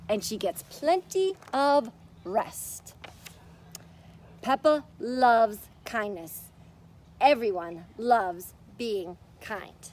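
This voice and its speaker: A woman's voice: a little high, a little hiss or hum. A woman presenting a children's story time, reading aloud and giving simple, encouraging explanations. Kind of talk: children's storytime read-aloud and craft instruction.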